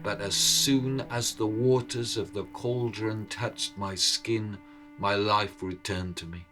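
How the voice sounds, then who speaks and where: A man telling a story in a measured, dramatic way, with deliberate pauses between phrases. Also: fairly low pitch, steady tone, a bit bright, rough recording. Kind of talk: dramatic oral storytelling narration with music. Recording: cello and violin music behind.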